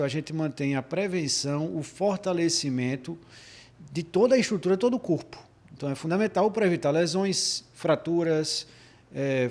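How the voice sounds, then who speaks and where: A man's voice: on the high side, a little mellow. A male orthopedic doctor interviewed for the news, explaining and listing points.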